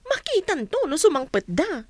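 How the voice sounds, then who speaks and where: A woman's voice: very high-pitched, huge pitch swings, moderate pace, no room sound, heavily acted. A woman acting an assured village gossip bringing news in a radio drama. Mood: assured, gossipy.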